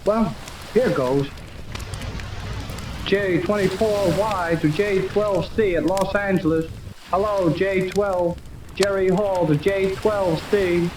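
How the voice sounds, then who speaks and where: A young actor with an American accent playing a plucky, defiant lad in a 1930s radio serial, brash, slangy and stubborn. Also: male voice, high voice, slow pace. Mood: businesslike. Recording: noisy, distant old radio recording.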